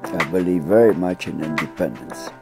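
An older man with a Polish accent, talking slowly and earnestly about his family and marriage in a home interview. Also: low voice, over music. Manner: slow, plain, earnest phrasing. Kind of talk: reminiscing interview. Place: living room at home.

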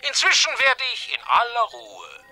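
An actor playing a gloating mad scientist villain in a science-fiction audio drama, theatrical and mock-polite, sneering and drawing out words. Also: male voice, extremely high pitch, wildly swooping pitch, measured pace, sharp tone, heavily acted. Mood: smug threat.

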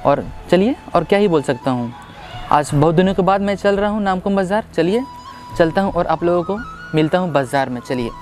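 Young man vlogging at an outdoor market, high voice. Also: animated, a little fast, music in the background. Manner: chatty, addresses viewers directly. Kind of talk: casual market vlog.

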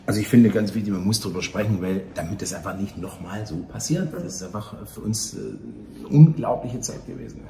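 A man in his fifties talking earnestly and haltingly in a casual interview. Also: fairly low pitch. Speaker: theatre operator, interview guest. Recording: echoey room, faint ambient noise.

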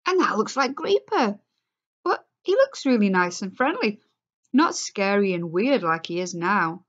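A woman reading aloud as a curious, excited young boy, raising her voice higher. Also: measured pace, dull audio. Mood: eager.

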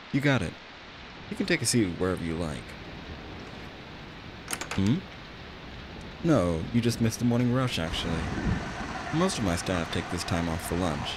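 Deep-voiced man in a chatty barista roleplay. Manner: chatty, gently reassuring. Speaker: voice actor in an audio roleplay. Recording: steady rain and hiss behind voice. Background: rain.

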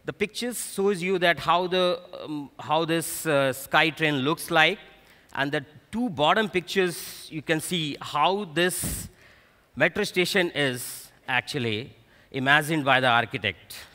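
Bright-voiced Indian-accented man presenting in a hall. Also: on the high side, a little slow. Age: middle-aged. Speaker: technical director presenting at a conference. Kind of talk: technical conference presentation. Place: stage of a large conference hall. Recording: echoey large hall PA sound.

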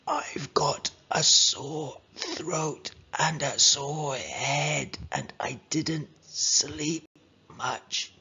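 A reader voicing a chatty little boy in a storybook, pitching the voice up high and dropping to a croaky whisper when he has lost his voice. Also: very slow, sharp tone, heavily acted. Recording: dull lo-fi.

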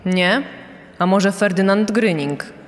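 A woman's voice: medium pitch, takes it slowly, close to an everyday voice. Woman acting a stern judge in an echoing hall. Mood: stern, probing. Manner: formal, insistent questioning. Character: stern, probing judge questioning the accused.

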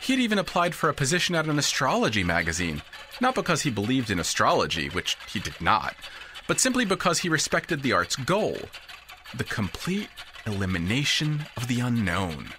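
A man narrates an audio drama with a crisp, forceful edge and very animated intonation. His delivery is precise and dramatic, like scripted storytelling. Faint ambient noise sits behind the voice. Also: mid-range voice, normal speed.

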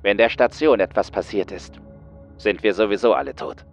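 A man's voice: fairly low pitch, lively intonation, normal speed, lo-fi sound, soft background music, voice pushed up, lightly acted. Man playing a huge, fearful astronaut. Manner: fearful, terse. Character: huge, taciturn male astronaut, now fearful. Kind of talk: dramatised horror audiobook dialogue.

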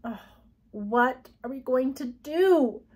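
A woman reader plays an earnest, worried storybook girl. Her delivery is urgent and pleading, with lively pitch and a slightly husky tone. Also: normal speed, heavily acted.

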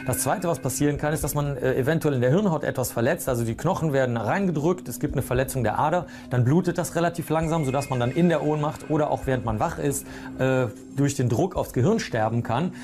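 A man's voice: medium pitch, fast talker. A man giving a spontaneous, colloquial explanation as a medical expert. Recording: voice with music underneath. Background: music.

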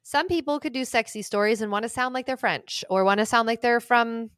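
A young woman co-hosting a podcast over a remote call, giving relaxed advice in a chatty way full of fillers and "like". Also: a little high, a little fast, clear, resonant tone, dry recording, clean studio sound. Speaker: podcast co-host, intimacy coach. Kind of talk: casual advice chat. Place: small room at home. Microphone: studio mic on stand, headphones, remote call.

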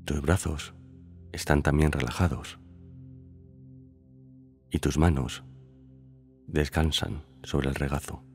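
Guy doing guided meditation, super deep voice, calm, lots of long pauses, music in the back. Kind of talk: slow scripted guided meditation. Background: background music.

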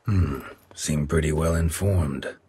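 A man voicing a weary, stoic monster hunter in a video game, with a gravelly growl and a terse, deadpan delivery. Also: very deep voice, slow pace, slight background noise. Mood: dry, wary.

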